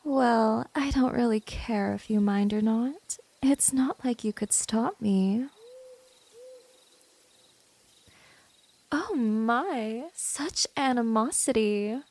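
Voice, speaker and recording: A woman's voice: fairly high pitch, a little mellow. A woman playing a teasing, domineering vampire in an ASMR roleplay, talking straight to the listener in a condescending, seductive way. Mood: teasing, haughty. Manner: slow, condescending, seductive, breathy drops into whisper. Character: smooth, teasing, domineering female vampire, gently predatory.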